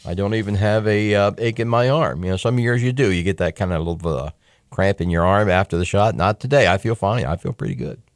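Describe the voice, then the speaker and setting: American man, talk radio host, lowish pitch, folksy conversational monologue, opinionated, emphatic asides, dry close studio mic. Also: lively intonation, fairly quick, dry recording. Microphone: close studio microphone.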